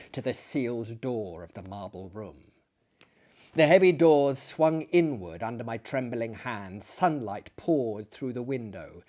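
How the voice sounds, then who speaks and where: Male narrator, medium pitch, theatrical storytelling delivery with hushed phrase endings. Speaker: narrator and performer. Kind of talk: dramatic audiobook narration.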